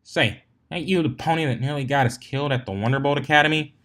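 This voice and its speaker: A man's voice: medium pitch, quick pace, pitched above the performer's own voice, close to an everyday voice. Man as a blunt country farm mare. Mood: irritated, flat. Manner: flat, folksy, scolding.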